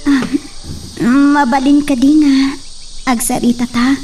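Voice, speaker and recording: An amateur actress playing a hurt young woman who speaks softly and sometimes whispers. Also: high-pitched, flat delivery, takes it slowly, faint music behind. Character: young woman hurt over her boyfriend, quiet and subdued. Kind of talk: amateur drama acting. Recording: distant mic, noisy background.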